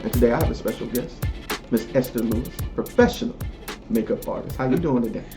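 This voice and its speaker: A man's voice: medium pitch, sing-song, fast, over music. A man hosting a casual interview, laid-back and joking with slang. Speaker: host.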